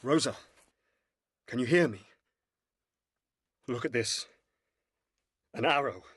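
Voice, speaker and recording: A man's voice: mid-range voice, slow, fairly bright tone, slightly rough, no room sound. A man playing a concerned, practical ranger companion, earnest and worried, in an amateur fantasy audio play.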